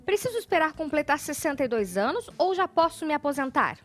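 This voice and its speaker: Woman, Brazilian, TV host, reads viewers' questions, high voice, bouncy intonation. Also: a little fast, soft background music. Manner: lively, playful asides when reading. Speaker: TV show host. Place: TV studio.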